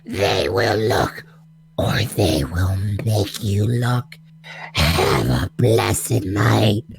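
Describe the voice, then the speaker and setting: A man as a creepy strangled neighbour lady, agonisingly slow. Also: animated, gravelly, husky, no room sound, faint music behind, exaggerated voice. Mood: menacing. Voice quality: high-pitched, scratchy, strangled. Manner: slow, drawn-out, eerily sweet delivery. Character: creepy possessed elderly neighbour woman, sinister and coaxing. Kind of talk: horror radio drama acting.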